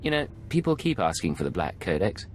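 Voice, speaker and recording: A man playing a cool, sardonic Imperial secret agent in scripted video game dialogue, measured and dry. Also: low voice, very animated, a little hiss or hum. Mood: dry, controlled.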